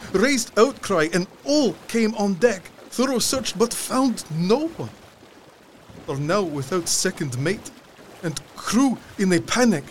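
An actor playing a weary, fearful sea captain in an audio drama, reading his log in grave, halting, clipped phrases. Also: sits high, slight background noise. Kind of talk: dramatic first-person log narration.

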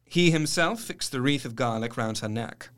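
An actor playing an earnest, educated Victorian doctor, narrating his diary in a formal, measured way for an audio drama. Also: mid-range voice, a bit bright, smooth, natural voice. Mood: puzzled, wry.

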